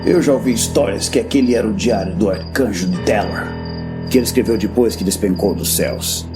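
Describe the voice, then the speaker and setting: An actor playing a grim, world-weary veteran warrior master in a fantasy audio drama, speaking bluntly and solemnly. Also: male voice, fairly low pitch, a little fast, lightly acted. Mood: grave, confiding. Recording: background music.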